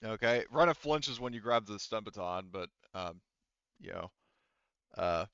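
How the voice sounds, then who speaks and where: Low, clear-voiced male game master. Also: lively intonation, unhurried, a bit bright, dry recording, lo-fi sound. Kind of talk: casual tabletop game narration.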